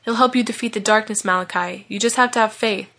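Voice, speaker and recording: A woman's voice: medium pitch, fairly even intonation, fast talker, gravelly, sharp tone. A woman voicing a wise, reassuring man who mentors the hero of a story, confident and soothing. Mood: calm, assured.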